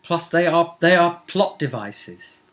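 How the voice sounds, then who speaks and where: A man's voice: fairly high pitch, lively intonation, takes it slowly, a bit bright. A man casually recounting a tabletop role-playing session as its game master.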